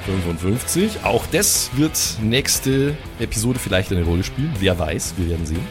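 Male game master, lower register, improvised storytelling, guitar underscore. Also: very animated, moderate pace. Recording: guitar music behind voice.

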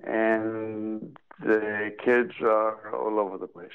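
An older Israeli-accented man speaks in a slow, soothing, reflective way, with touches of dry humor. His voice has a gravelly edge. It comes through a thin, phone-like line from his workroom at home. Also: on the low side, flat delivery. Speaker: psychology professor, guest. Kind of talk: reflective interview answers. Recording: tinny phone-line audio.

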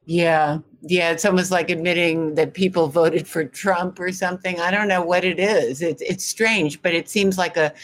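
A woman reporter hosting a conversational sports interview, direct and opinionated in how she talks. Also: on the low side.

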